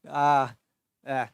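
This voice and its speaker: A man's voice: fairly high pitch, creaky, no echo. Hesitant man, dry close sound. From Nepal. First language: Newar. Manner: hesitant, many fillers, thinks aloud. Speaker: fantasy football livestream host. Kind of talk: casual live Q&A reading chat.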